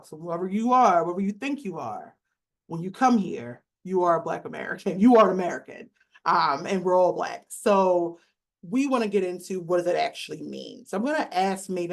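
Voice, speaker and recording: A woman's voice: lowish voice, vocal fry. Conversational woman moderating a panel. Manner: loose and conversational, many fillers. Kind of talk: casual panel discussion.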